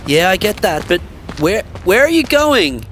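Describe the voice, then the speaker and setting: A man acts a young male survivor in an audio drama, casual, protective and teasing. His pitch swings widely, with faint music behind him. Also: very animated, unhurried, a bit bright, heavily acted. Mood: wary. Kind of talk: conversational audio drama acting.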